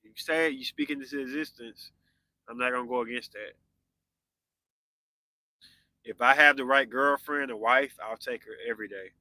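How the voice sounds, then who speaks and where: A man's voice: mid-range voice, brisk, pushed and bright, dry recording, rough recording. A man in his forties hosting a podcast, chatting casually with live viewers and answering their comments. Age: 40s. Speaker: podcast host.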